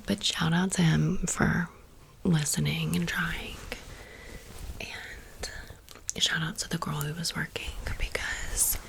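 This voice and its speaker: A woman in her thirties whispering softly in a chatty ASMR show-and-tell, blunt and casual. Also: deep voice, vocal fry, rough recording. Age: 30s. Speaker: ASMR creator. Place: home room with fridge and outside noise.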